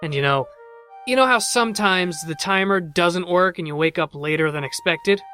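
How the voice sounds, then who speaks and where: Man playing a bright, chatty wisecracking robot. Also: sits high, moderate pace, soft background music. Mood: gleeful, mock-gentle. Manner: glib, talkative, joking. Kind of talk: audio drama acting.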